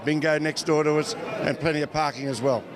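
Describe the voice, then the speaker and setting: A man's voice: on the high side, steady tone, fairly quick. An older Australian man, a club founder, answering in an informal interview. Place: entertainment venue during a party. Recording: party crowd chatter behind.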